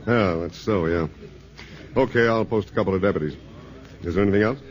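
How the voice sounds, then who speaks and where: An actor playing an easygoing, folksy small-town sheriff in a 1950s radio drama. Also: male voice, lowish voice, fairly quick, close to an everyday voice. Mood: matter-of-fact. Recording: dull old radio recording, music behind.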